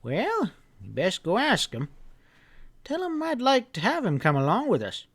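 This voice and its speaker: A man acts out a kindly old country grandfather for an audiobook. His voice lifts well up in pitch, with a folksy drawl and an affectionate, bossy warmth. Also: wildly swooping pitch, measured pace, dry recording, heavily acted. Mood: gently urging.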